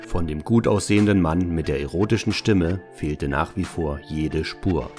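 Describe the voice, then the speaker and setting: A male narrator of a detective radio play reads scripted lines in a very low voice. Music plays softly behind him. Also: moderate pace.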